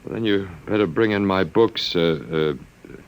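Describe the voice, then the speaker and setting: A man's voice: deep voice, unhurried. A man playing a bewildered professor in a 1950s radio drama, stammering and flustered but wry. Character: bewildered male professor, last man on Earth.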